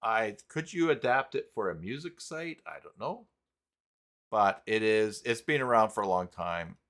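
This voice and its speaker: A man hosting a live stream tutorial in a casual, halting way, thinking aloud as he goes. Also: fairly low pitch, expressive, a bit bright. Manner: halting, thinking aloud while clicking around. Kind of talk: casual live tutorial talk, reading chat.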